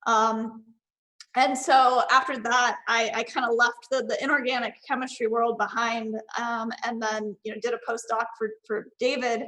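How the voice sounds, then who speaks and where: A woman's voice: a little high, flat delivery, moderate pace, sharp tone, poor audio. A woman in her thirties from Northern California, chatting casually as a panelist over a video call with many fillers and restarts. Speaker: panelist and award finalist.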